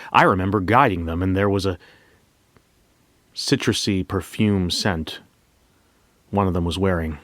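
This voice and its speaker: Male narrator, fairly low pitch, for first-person audio fiction as a bank manager. Reflective, deliberate pauses, close dry sound. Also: no room sound. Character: bank manager recounting a memory heist.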